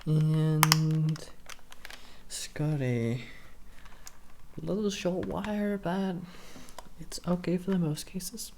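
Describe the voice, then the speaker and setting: A man doing a soft-spoken ASMR roleplay as a shop assistant, talking in a hesitant, halting, unhurried way. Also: on the high side, fairly even intonation, a little hiss or hum. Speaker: ASMR creator playing a keyboard shop assistant.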